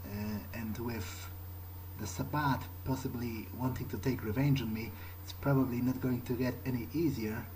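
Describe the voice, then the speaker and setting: A man's voice: medium pitch, fairly even intonation, normal speed, darkish tone, slight background noise, low-quality recording. A man narrating a video game playthrough in character, thinking aloud in a hesitant, musing way. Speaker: gamer playing in character. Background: music.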